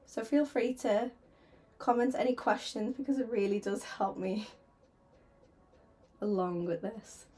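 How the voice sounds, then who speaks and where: A woman's voice: a little high, steady tone, slow pace, darkish tone, faint music behind. Chatty woman with sore throat. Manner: chatty, giggly, a little nervous. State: sore throat, slightly hoarse. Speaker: artist streaming a painting session. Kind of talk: casual livestream chat. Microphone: desk microphone.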